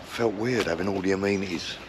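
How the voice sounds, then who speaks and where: A man's voice: deep voice, fairly quick, background noise. A middle-aged man, hungover and groggy, chatting jokily to camera at a woodland camp. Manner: chatty, jokey, self-mocking. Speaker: vlogger. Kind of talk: casual camping vlog to camera.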